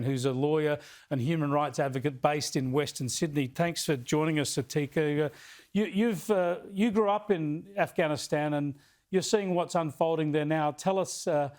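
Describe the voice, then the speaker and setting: Guy doing news interview, bit higher voice, chatty but sympathetic questions. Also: darkish tone. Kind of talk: broadcast interview.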